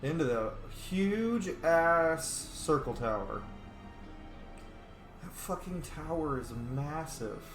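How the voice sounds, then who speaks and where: Excitable sweary young man gaming. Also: on the high side, takes it slowly, echoey room, music in the background. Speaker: gamer roleplaying his character. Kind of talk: casual let's play commentary with in-character lines.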